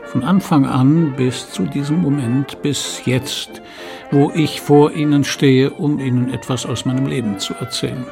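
A man playing a writer who recounts his life in a literary radio monologue, measured, dry and deliberate. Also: mid-range voice, steady tone, gravelly, music in the background. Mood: dry resignation. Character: deadpan male writer solemnly recounting his uneventful life.

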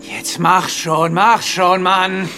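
An actor playing a menacing armed escaped convict in a radio play, terse and commanding. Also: male voice, high-pitched, level tone, takes it slowly, background noise. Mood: impatient, threatening.

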